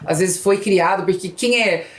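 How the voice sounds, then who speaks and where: A woman's voice: medium pitch, normal speed, slightly rough. A woman with a Brazilian Portuguese accent, interviewing guests conversationally as a podcast host.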